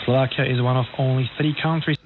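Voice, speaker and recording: A man's voice: mid-range voice, steady tone, fast talker. A man reading scripted news as a radio presenter, heard over shortwave. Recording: narrow, hissy, noisy shortwave radio reception.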